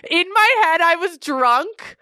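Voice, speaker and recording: A woman's voice: slow, dry recording, studio quality. Chatty young woman podcast co-host. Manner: animated, rising pitch when excited. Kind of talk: casual chat. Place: podcast studio.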